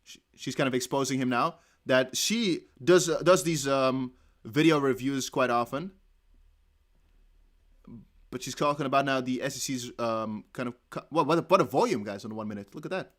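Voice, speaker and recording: A man with a Dutch accent, a native Dutch speaker, giving chatty, excitable live commentary on a court hearing. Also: medium pitch, normal speed. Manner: excitable, chatty, laughs often. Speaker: crypto news streamer.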